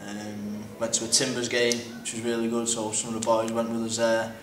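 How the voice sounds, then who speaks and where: A young man with a Manchester accent gives relaxed answers in an even, unvaried voice, often repeating his words. He uses casual British slang throughout. The recording is distant and echoey. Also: mid-range voice, a little fast, a little hiss or hum. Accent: Manchester English. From Manchester, England. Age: young adult. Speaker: college soccer player. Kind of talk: interview answers. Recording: echoey room, mic far off.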